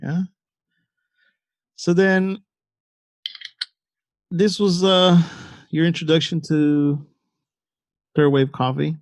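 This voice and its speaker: A man's voice: fairly high pitch, flat delivery, very slow, pauses often. A man hosting a casual interview, prompting his guest with short friendly questions. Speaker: podcast host. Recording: dull, dry close sound.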